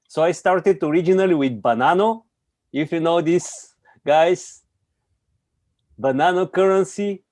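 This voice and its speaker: Slow-talking man from Bulgaria. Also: fairly high pitch, a little mellow, dry recording. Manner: halting non-native phrasing with laughs. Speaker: meeting participant, programmer. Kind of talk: casual self-introduction on a call.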